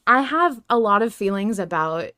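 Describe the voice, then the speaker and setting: A woman's voice: medium pitch, lively intonation, moderate pace, pushed and bright, dry recording, clean studio sound. A woman co-hosting a podcast in casual discussion, effusive and peppering her talk with "like". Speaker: podcast co-host.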